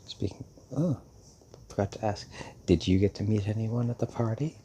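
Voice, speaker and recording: An actor playing a centuries-old, fatherly male vampire in an intimate audio roleplay, speaking haltingly and musingly with hums and long pauses. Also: lowish voice, mellow, a little hiss or hum, lightly acted. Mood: fond, reflective.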